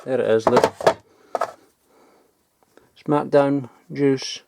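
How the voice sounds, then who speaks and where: A man's voice: medium pitch, fairly even intonation, drawn-out words, vocal fry, heavy background noise. A young man giving a casual, sweary, enthusiastic show-and-tell in an informal vlogging style. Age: young adult. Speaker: vlogger showing old toys. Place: loft at home, indoors.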